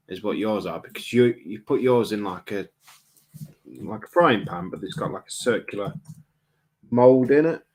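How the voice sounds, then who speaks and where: A man, co-hosting a livestream with jokey, teasing banter. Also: on the low side. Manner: joking, teasing. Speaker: livestream co-host. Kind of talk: casual banter.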